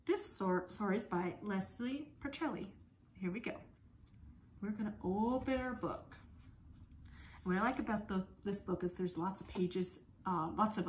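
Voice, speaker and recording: A woman in her thirties leading songs and story time for young children, speaking in simple, repetitive, expressive phrases. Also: mid-range voice, reverberant, a little hiss or hum. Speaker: speech-language pathologist leading circle time. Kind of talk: children's songs and read-aloud lesson. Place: small room at home.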